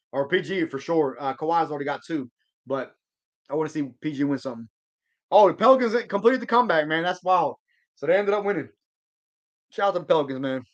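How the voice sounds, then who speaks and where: A man's voice: a little high, fairly bright tone, dull audio. A man hosting a live sports stream, chatting casually and answering viewer comments in a rambling, slangy way. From Georgia. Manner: laid-back slang, rambling restarts.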